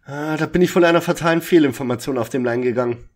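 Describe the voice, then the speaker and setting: A man's voice: a little high, fast, darkish tone, close to an everyday voice. A man playing a bookish young detective, the junior of a trio, in a parody radio play. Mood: earnest.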